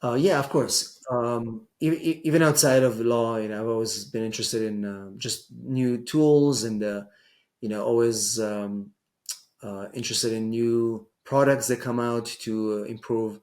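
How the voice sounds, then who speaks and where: A man's voice: medium pitch, fairly even intonation, a little slow, darkish tone. A middle-aged man with an Israeli accent, an immigration attorney answering interview questions with frequent ums and restarts. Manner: hesitant, many fillers and restarts. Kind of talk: casual interview conversation.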